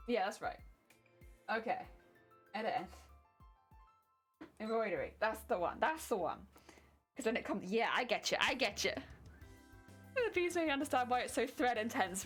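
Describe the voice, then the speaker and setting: A woman with a British accent chatting casually on a craft live stream, giggling and muttering to herself as she works. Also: fairly high pitch. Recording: loud music playing under voice. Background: loud background music and sewing machine.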